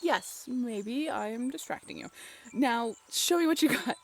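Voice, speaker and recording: A woman plays a playful young woman talking intimately with a lover in an audio roleplay. The voice is high and swings freely in pitch, with an expressive, teasing, slightly halting delivery. Faint ambient noise sits behind it. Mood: playful, affectionate. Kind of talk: intimate roleplay audio drama, natural conversational acting.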